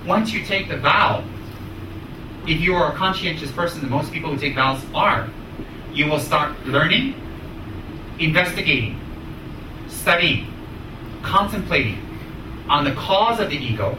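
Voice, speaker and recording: A man with an American accent teaching Buddhism to students, speaking emphatically and deliberately in short repeated phrases. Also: a little high, animated. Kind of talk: spoken teaching with questions to students. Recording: echoey room, distant mic, clear noise.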